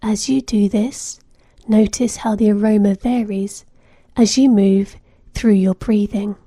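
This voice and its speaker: A woman narrating a guided meditation in a soothing, gentle manner, giving measured instructions with soft phrase endings. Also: medium pitch, steady tone, no room sound.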